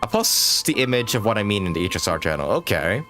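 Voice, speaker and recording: A low-voiced man hosting a casual game stream. His intonation swings freely as he jokes and muses with the chat, with faint music in the background. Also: very animated, quick pace.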